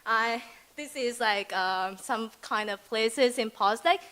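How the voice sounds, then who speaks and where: A woman's voice: on the high side, pushed and bright, smooth. A young woman from Vietnam with a Vietnamese accent, giving a chatty, hesitant student presentation full of fillers and giggles. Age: young adult. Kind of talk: presentation speech.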